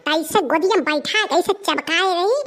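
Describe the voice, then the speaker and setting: A woman's voice: very high voice, normal speed, noisy background. A woman voicing a clingy, devoted village sweetheart in a comedy cartoon, gushing sentimentally with an Awadhi accent. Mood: besotted, gushing.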